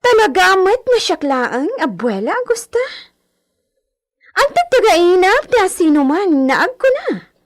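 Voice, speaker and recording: A woman's voice: very high-pitched, sing-song, unhurried, full character voice. A woman playing an imperious young heiress in a radio drama, with an Ilocano accent and a commanding, haughty manner peppered with Spanish words. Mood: proud, eager.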